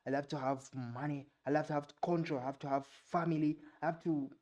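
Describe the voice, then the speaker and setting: A young Ugandan man with a Ugandan English accent, his first language Luganda, reading aloud haltingly and sounding out unfamiliar words, with casual asides. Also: fairly high pitch, fairly even intonation, a little mellow, lo-fi sound. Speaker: vlogger.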